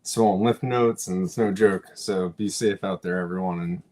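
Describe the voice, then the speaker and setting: A man's voice: sits low, steady tone, moderate pace, a little mellow. A man co-hosting a live show, congested from a cold and talking in an easygoing, hesitant way. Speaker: co-host. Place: home studio in New York.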